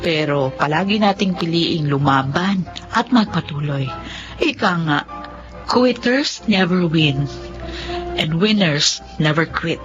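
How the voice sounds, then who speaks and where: An actress playing an earnest young Filipino daughter and single mother in a radio drama, with a heartfelt, deliberate delivery. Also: low voice, expressive. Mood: earnest, hopeful. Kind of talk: radio drama acting and first-person narration. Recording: dull lo-fi audio with clear music underneath.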